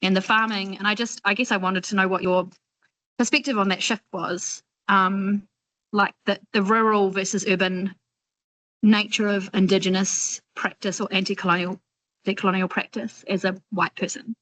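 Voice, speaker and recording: A woman's voice: medium pitch, level tone, moderate pace, fairly bright tone, slight background noise, rough recording. A woman from New Zealand with a New Zealand accent, asking a long, rambling and candid question on a video call webinar. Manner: chatty, rambling, candid and informal. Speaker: audience member asking a question. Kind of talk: webinar discussion.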